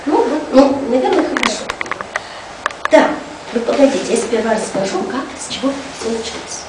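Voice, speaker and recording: A woman's voice: a little high, animated, normal speed. A woman telling a story to children in a conversational way, putting questions to her young listeners. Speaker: storyteller at a children's reading event. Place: indoor room at a library event. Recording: echoey room, distant mic, noisy.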